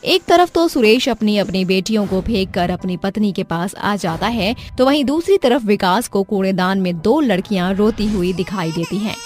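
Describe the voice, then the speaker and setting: A woman narrates a scripted story in a dramatic storytelling style. Her pitch is middling. Music plays behind her voice. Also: expressive, normal speed, hardly any pauses, darkish tone.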